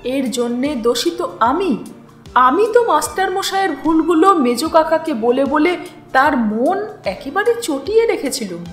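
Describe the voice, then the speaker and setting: Female narrator for literary fiction: high voice, measured dramatic reading, music underneath. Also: sing-song. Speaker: storyteller and channel host. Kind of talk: expressive literary story narration. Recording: roomy echo with background music.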